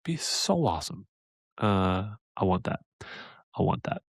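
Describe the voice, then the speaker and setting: A man co-hosting a casual fan podcast, talking excitedly and pitching ideas with laughs. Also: a little slow, no room sound, studio quality. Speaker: podcast co-host.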